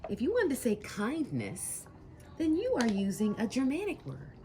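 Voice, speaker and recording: A woman's voice: a little high, wildly swooping pitch, normal speed, background noise. A woman teaching a lesson with questions to a student, encouraging and emphatic, drawing out key words.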